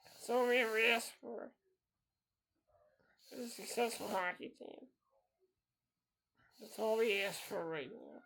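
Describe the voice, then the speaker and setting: A man's voice: high voice, measured pace, creaky, pauses often, bright, forceful tone. A man in his thirties hosting a solo podcast, talking in an earnest, repetitive way and circling back to the same phrases. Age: 30s. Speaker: podcast host.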